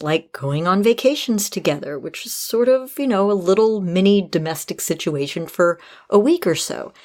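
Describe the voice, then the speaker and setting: Woman, podcast host, slightly low pitch, conversational advice, measured explanatory delivery. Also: a little mellow.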